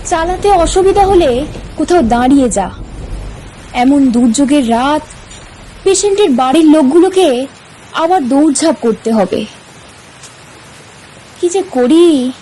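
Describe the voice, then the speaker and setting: Lady doing a haunted guy doctor from a horror audio story, grave, dramatic, high slow voice. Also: animated, mellow, a little hiss or hum, low-quality recording. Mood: worried. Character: troubled, haunted middle-aged male doctor confiding dread.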